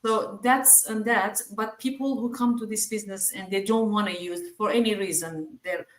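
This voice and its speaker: A woman's voice: medium pitch, fairly even intonation, normal speed, echoey room. A woman with a Persian accent, speaking English as a second language, reassuring others in a casual group chat with many hesitations. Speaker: team member sharing experience.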